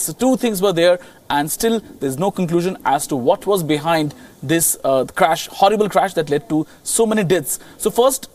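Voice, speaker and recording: A man with an Indian accent giving a live, unscripted news report as a correspondent, halting and rephrasing as he goes. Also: on the high side, a little mellow, far from the mic.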